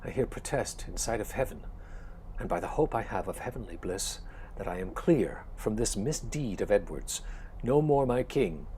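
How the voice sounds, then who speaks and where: An actor playing a proud, blunt earl and envoy in a Shakespearean drama, declaiming formal verse. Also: male voice, on the low side, animated, moderate pace, dark tone, slight background noise, lightly acted, low-quality recording. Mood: wronged, vehement. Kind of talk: Shakespearean stage-style audio drama acting.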